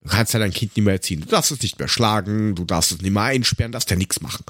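A middle-aged man chatting casually on a humorous podcast in a halting, wry storytelling manner. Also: on the low side, fairly bright tone, dry recording, clean studio sound. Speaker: podcast host.

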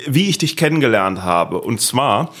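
A man's voice: medium pitch, sing-song, moderate pace, a bit bright. A man hosting a podcast in a casual, conversational style, joking and self-deprecating as he talks. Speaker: podcast host.

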